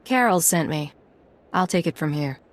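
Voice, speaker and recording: Low-voiced, cool mercenary agent. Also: female voice, animated, moderate pace. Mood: businesslike. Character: cool, confident female secret agent posing as mercenary. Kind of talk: video game voice acting.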